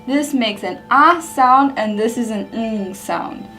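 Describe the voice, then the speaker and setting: A young woman with a fairly high voice explains a lesson step by step, patient and clear as she points at her examples. The room rings with echo, and music plays behind her. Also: animated, slow, bright, forceful tone. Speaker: language teacher. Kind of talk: scripted lesson narration.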